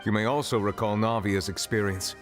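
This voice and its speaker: An actor playing a solemn, composed man of authority in a game, explaining things formally and with measured care. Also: fairly low pitch, fairly even intonation, faint music behind, natural voice. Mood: measured, correcting. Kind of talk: game story dialogue, formal exposition.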